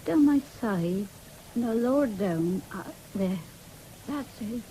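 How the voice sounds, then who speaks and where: A woman's voice: medium pitch, animated, slow pace, dark tone, slight background noise, low-quality recording. An actress playing an ailing, stubborn, fretful country mother with a rural English accent in a 1970s radio drama. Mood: anxious, subdued.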